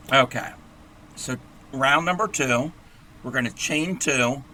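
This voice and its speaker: A man with a hoarse, scratchy voice who talks through a crochet tutorial in a rambling, chatty way and clears his throat often. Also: medium pitch, takes it slowly, pushed and bright. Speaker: crochet livestream host.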